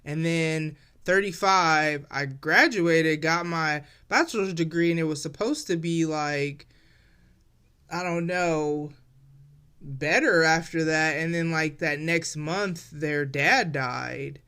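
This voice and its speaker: A woman's voice: low-pitched, slow pace, fairly bright tone, smooth. A woman of forty hosting a casual solo podcast, talking in a reflective, loose and chatty way straight to her listeners. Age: 40s. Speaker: podcast host.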